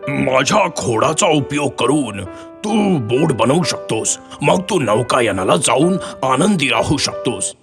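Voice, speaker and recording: A man voicing a kind, selfless old tree in a children's story, speaking gently and pleadingly. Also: medium pitch, sing-song, takes it slowly. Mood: apologetic, generous. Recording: music behind the voice.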